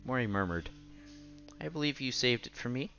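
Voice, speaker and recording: A man reading a visual novel aloud as a streamer, with playful, casual asides and quiet game music behind him. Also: mid-range voice, animated, moderate pace, gravelly. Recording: slightly dull, faint game music behind.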